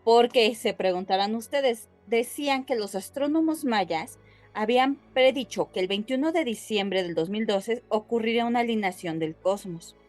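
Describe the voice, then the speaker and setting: A woman's voice: a little high, moderate pace, darkish tone, soft background music. A woman co-hosting a podcast, casually telling stories to her co-hosts in a playful, colloquial way. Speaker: podcast co-host.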